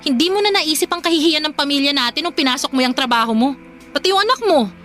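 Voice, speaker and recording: A woman's voice: high voice, animated, fast talker, a bit bright, soft background music. A woman playing a worried, reproachful younger sister in a Filipino radio drama, pleading and scolding.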